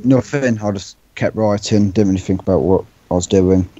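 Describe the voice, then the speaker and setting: A man giving short, deadpan, offhand answers in a casual joking chat. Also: sits low, normal speed, no echo, lo-fi sound. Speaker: interviewee, amateur story writer.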